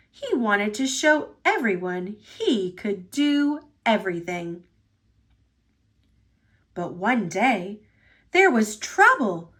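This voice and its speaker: A woman reading a children's storybook aloud as narrator, with expressive delivery. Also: on the high side. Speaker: storybook reader.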